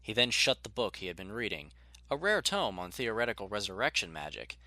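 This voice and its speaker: A man's voice: fairly low pitch, big pitch swings, fairly quick, sharp tone, no echo. A man narrating a scripted story as a dramatic audiobook reader.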